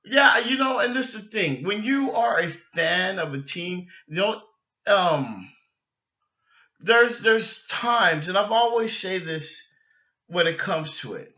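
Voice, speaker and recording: Male sports podcast host, high-pitched and exasperated, halting unscripted delivery, roomy echo. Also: lively intonation, bright, forceful tone. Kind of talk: unscripted sports fan rant. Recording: dull lo-fi sound, echoey room.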